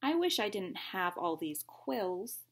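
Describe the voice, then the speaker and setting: A lonely young porcupine from a storybook , read by a woman. He is gentle and earnest, speaking plaintively in a raspy, creaky voice. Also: mid-range voice, big pitch swings, slow pace, heavily acted. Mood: downcast.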